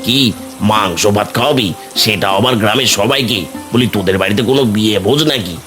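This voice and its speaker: Man as a low-voiced, suspicious village uncle. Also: a little fast, a bit bright, soft background music, natural voice. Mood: incredulous. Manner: exclamatory, incredulous, repeats words. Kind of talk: children's fairy tale cartoon dub.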